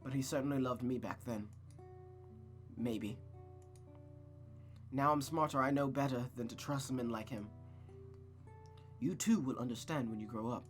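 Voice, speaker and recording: A man's voice: mid-range voice, a little slow, long pauses, faint music behind, lightly acted. A man voicing a controlling, bitter mother in a visual novel, cold, commanding and lecturing. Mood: cold, brooding. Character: controlling, bitter, menacing mother, adult woman.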